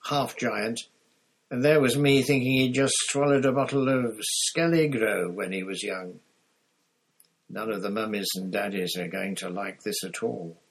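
A man's voice: fairly low pitch, a little slow, husky, low-quality recording. A man voicing a sneering, smug teenage schoolboy bully, mocking and gloating.

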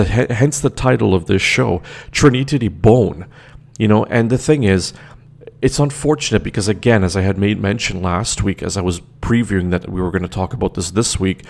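A man's voice: lowish voice, vocal fry. A man from Canada hosting his own show, talking emphatically with many fillers and restarts into a desk microphone. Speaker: podcast host. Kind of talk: opinionated solo commentary.

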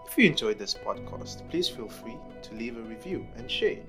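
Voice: mid-range voice, lively intonation, moderate pace. An announcer reading a scripted podcast outro. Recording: music under the voice. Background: guitar music.

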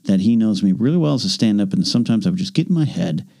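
Low-voiced man co-hosting a chat podcast. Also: expressive, fast talker, creaky, dark tone. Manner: rambling, self-deprecating, many restarts. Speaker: podcast co-host. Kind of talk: casual chat.

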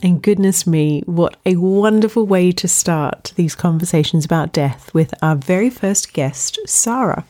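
A woman with a slightly low voice hosts an interview podcast. She speaks in an enthusiastic, conversational manner. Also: measured pace, few pauses, mellow, soft background music. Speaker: podcast host.

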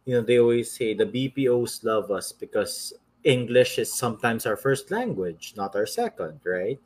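Man, Filipino accent, lively casual talk, switches between English and Filipino. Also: medium pitch, normal speed, slightly rough. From Philippines. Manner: animated, mixes English with Filipino. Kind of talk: casual conversation.

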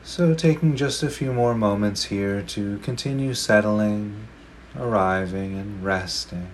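A man leading a guided meditation, speaking slowly and gently with long pauses between phrases. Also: fairly low pitch, slight background noise. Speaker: meditation teacher.

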